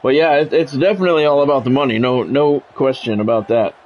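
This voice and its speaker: A man's voice: mid-range voice, fast, a little hiss or hum. A man livestreaming a game, mixing casual, opinionated chat with sports play-by-play commentary. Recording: dull lo-fi audio, music behind. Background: music.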